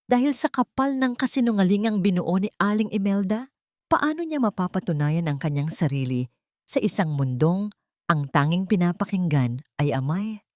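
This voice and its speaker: A woman narrating a scripted dramatic story in a solemn, deliberate, melodramatic way. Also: on the low side, a little mellow, no room sound, studio quality.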